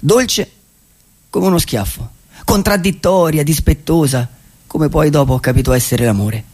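A dreamy male vagabond speaks in a fairly high voice with gravelly edges. He delivers a poetic radio-theatre monologue, halting and repeating himself as he reflects. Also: darkish tone. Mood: wistful.

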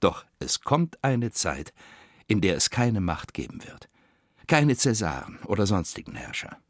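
An actor playing a weary, bitter novelist, reading in a deliberate, brooding literary radio-play manner with theatrical pauses. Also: male voice, on the low side, very animated, dry recording, clean studio sound, heavily acted. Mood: measured, grave.

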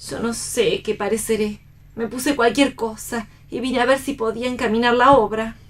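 A woman's voice: fairly high pitch, steady tone, moderate pace, natural voice. An actress in a radio play voicing an elegant, privileged young woman disillusioned in love, melancholy and theatrical. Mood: questioning.

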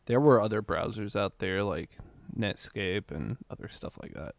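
A young man in his twenties speaks in a low, gravelly voice on a podcast. He is dry and matter-of-fact, and the sound is close and free of room echo. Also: animated, moderate pace. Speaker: podcast co-host. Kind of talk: casual chat.

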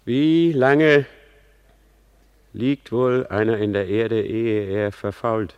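A man acting a brooding, ironic young prince in a classical radio drama, with measured, articulate, dramatic delivery. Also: on the low side, fairly even intonation, smooth, no echo, lo-fi sound. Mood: curious, ironic.

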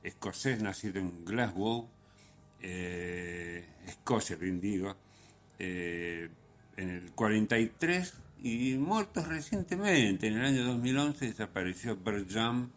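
A man hosting a radio music show, giving unscripted commentary in a halting way, often hesitating and correcting himself. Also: on the low side, expressive, slight background noise.

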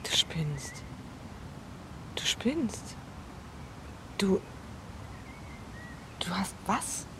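A woman's voice: mid-range voice, very animated, extremely slow, pauses often, in a whisper. An actress in her twenties playing a blunt, incredulous young woman facing her ex in a naturalistic radio drama. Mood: incredulous, uneasy.

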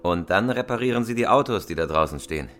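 A man's voice: sits low, animated, fast talker, a bit bright, faint music behind. An actor playing a cool, hard professional robber in a crime radio play, clipped and controlled in his way of talking. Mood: calm, guarded. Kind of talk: terse radio drama dialogue.

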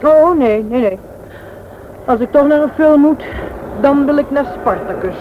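Fussy thrifty guy in old radio play, high voice, muffled sound. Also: animated, measured pace. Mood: reluctant. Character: thrifty, proper companion on an awkward first meeting. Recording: dull, noisy old radio recording.

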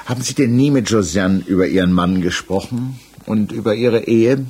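A man's voice: lowish voice, normal speed, darkish tone, husky. An actor playing a probing, sceptical examining magistrate in a 1960s German radio play, formal and pointed in his questioning.

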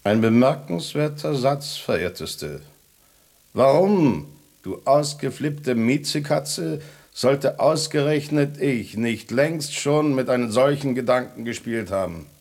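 A man's voice: mid-range voice, animated, takes it slowly, a little mellow. A man playing a scornful, proud lone tomcat in a radio drama, speaking in a haughty, sardonic, mock-formal way. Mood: proud, reproachful.

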